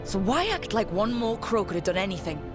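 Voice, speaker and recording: A woman plays a young hero in a fantasy video game. She is direct and steady, with a mid-range voice and a determined manner. Music plays behind her. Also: medium pitch, fairly quick, natural voice. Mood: pained, defensive.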